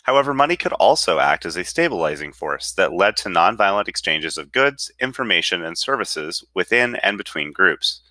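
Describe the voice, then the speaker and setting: A man's voice: sits low, very animated, moderate pace, few pauses, pushed and bright, no echo. A man reading an article aloud with careful enunciation. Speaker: reader.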